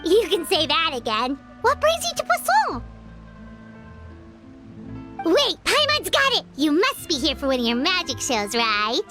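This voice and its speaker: A woman voicing a small, excitable girl fairy companion in a video game, squeaky and childlike, given to exclamations. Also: a little slow, long pauses, full character voice. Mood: excited. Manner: exclamatory, refers to self in third person. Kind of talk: animated video game dialogue. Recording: music behind the voice.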